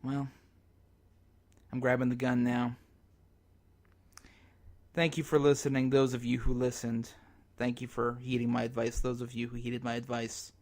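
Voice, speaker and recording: Despairing male survivor broadcasting on ham radio , played by a man, mid-pitched, halting and dramatic, for a zombie radio drama. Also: completely flat delivery, darkish tone, close to an everyday voice. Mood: resigned farewell. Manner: halting, dramatic, broken into short phrases.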